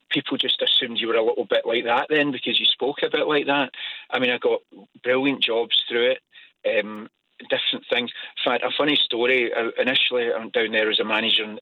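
A man's voice: mid-range voice, no echo. A Scottish man in his forties calling into a radio show, telling stories with enthusiasm. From Scotland. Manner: enthusiastic storyteller. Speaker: caller. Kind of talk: phone-in chat. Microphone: phone. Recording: tinny phone line.

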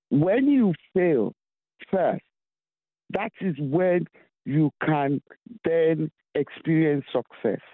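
A man calling into a radio show, speaking earnestly and philosophically with slow, emphatic phrasing. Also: on the high side, no echo. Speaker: radio caller. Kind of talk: phone-in call. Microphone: telephone. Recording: tinny phone line.

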